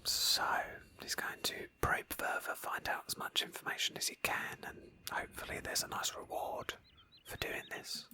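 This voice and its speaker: A woman whispering breathily in a hushed, thoughtful ASMR style as she narrates a roleplay game playthrough as its host. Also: moderate pace.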